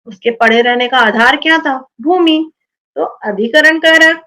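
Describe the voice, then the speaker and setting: A woman's voice: high-pitched, a little slow, sharp tone. A woman teaching a grammar lesson to children, explaining step by step and often checking understanding with questions.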